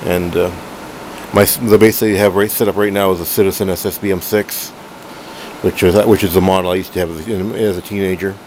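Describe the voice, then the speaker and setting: A middle-aged man giving a casual, unscripted hobbyist demo at home, halting and rambling as he corrects himself. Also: deep voice. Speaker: hobbyist collector reviewing a radio. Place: room at home, indoors. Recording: noisy room with steady background hum.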